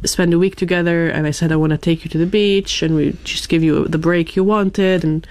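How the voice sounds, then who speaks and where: A woman's voice: lowish voice, steady tone, a little fast, a little mellow, no echo. A woman guest in an interview, telling a personal story casually and acting out her own thoughts as quotes.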